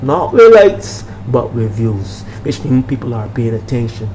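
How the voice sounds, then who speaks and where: Older bajan guy doing a preachy casual vlog, soft mellow voice, bit of background hum. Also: medium pitch, measured pace. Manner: emphatic, repeats phrases, checks in with listeners. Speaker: minister and channel host. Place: small room at home, indoors. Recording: noisy room with steady background hum.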